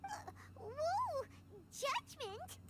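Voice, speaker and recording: Squeaky, excitable little fairy. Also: female voice, drawn-out words, heavy background noise, full character voice. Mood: nervous. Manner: squeaky, chirpy, talks in third person. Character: tiny excitable childlike female fairy companion. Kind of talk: video game voice acting.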